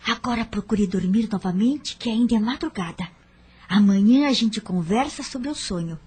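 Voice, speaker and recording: A woman playing a tender, comforting mother with a breathy voice and a Brazilian accent in an audio drama. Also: medium pitch, lively intonation, moderate pace, mellow, slight background noise, lightly acted. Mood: soothing.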